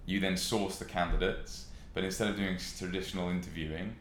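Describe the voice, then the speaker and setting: Deep young southern English man, roomy sound. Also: fairly even intonation, a little fast, a bit bright. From West Sussex, England. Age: young adult. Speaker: podcast guest. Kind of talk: casual chat, telling own experience. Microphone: podcast microphone. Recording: roomy echo with faint noise.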